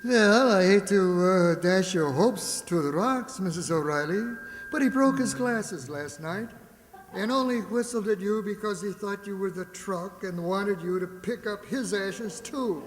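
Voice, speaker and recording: Wisecracking broke maestro, acted by a man, mock-dignified, high-pitched, vintage radio comedy, echoing hall. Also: a little slow, slight background noise. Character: wry, broke musician maestro, wisecracking gambler. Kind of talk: old-time radio comedy acting.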